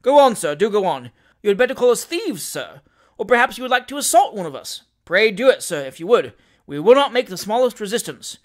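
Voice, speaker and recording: Man acting a smug, high-voiced sly lawyer. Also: lively intonation, quick pace, few pauses. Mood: goading. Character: smug, sly male junior partner lawyer, goading.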